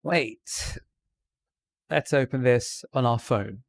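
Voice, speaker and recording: A man's voice: mid-range voice, takes it slowly. Man streaming in a put-on RP British accent. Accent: put-on British Received Pronunciation. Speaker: live streamer. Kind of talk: casual livestream chat to viewers.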